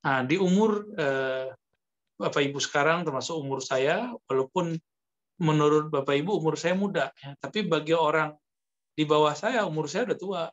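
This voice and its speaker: A man teaches religion in a slightly high voice. He explains point by point in a conversational, halting preacher's style, pausing often. The recording is somewhat muffled and lo-fi. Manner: explanatory, halting, with pauses and Arabic quotations. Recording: dull lo-fi lecture recording.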